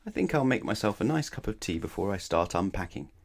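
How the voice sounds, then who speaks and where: A man's voice: deep voice, sing-song, fast, exaggerated voice. A man voicing a fussy, very tidy little woman from a children's storybook, prim and easily dismayed. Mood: prim, content.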